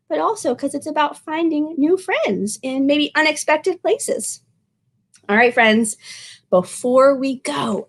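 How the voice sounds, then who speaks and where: A woman reading a picture book aloud as a children's librarian, expressive, drawing out words and speaking to young listeners. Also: high-pitched.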